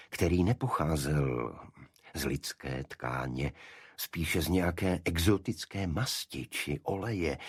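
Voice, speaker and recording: A man with a low, husky voice narrates a scripted detective story. His phrasing is formal and expressive, and the recording is dry and close. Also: no echo. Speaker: audiobook narrator.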